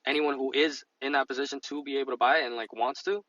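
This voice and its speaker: A man co-hosting a podcast in casual chat, halting and repeating phrases as he thinks, on a slightly dull mic. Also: mid-range voice, fairly even intonation, pushed and bright, no room sound. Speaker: podcast co-host discussing stock investing.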